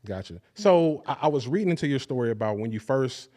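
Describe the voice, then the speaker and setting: A man's voice: mid-range voice, animated, a little fast, dark tone. A man hosting a podcast, interviewing his guest in a casual, laid-back way and affirming her often with short replies. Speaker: podcast host.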